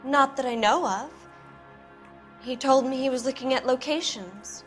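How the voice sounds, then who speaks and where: An actress playing a cynical, guarded producer's wife in a 1940s noir video game, answering in a cool, bitter, evasive way. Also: female voice, sits high, unhurried, faint music behind.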